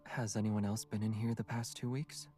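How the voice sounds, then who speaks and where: Male actor as young game hero, a hunter, calm and self-assured, understated delivery, faint music underneath. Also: on the low side, normal speed, lightly acted. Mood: calm, serious. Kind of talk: scripted game voice acting.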